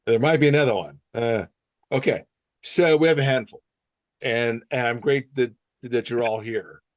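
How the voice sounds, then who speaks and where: A man who is a speech-language pathologist leading a casual group discussion, talking loosely with quick bursts and restarts. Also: medium pitch, no room sound, highs cut off. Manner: conversational with many fillers and restarts, sometimes rapid.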